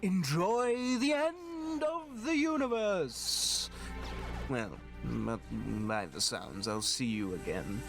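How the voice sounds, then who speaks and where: Guy playing smug taunting rogue, drawls real slow, theatrical swoopy pitch. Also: full character voice. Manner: mocking, drawn-out. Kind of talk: sci-fi audio drama acting.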